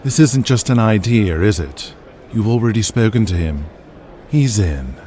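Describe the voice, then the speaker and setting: A man playing a cool, calculating male wrestling boss in an audio drama, measured and deliberate. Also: on the low side, animated, background noise.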